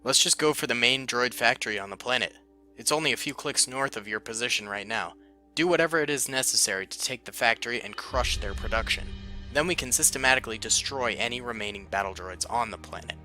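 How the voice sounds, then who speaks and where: A man's voice: medium pitch, quick pace, bright, forceful tone, faint music behind. A man voicing a decisive male clone commander who gives clipped military orders. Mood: commanding.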